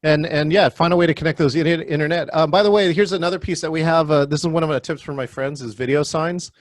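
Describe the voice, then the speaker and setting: A man's voice: fairly high pitch, quick pace, dry recording, studio quality. Man leading a video-call workshop. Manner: friendly, calls on attendees by name. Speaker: virtual meeting trainer. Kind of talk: hosting an online workshop.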